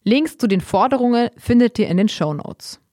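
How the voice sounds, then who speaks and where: A woman hosting a podcast, reading news items in an even, read-aloud way before moving into an interview. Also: a little high, normal speed, no echo, studio quality, faint music behind. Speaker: podcast host.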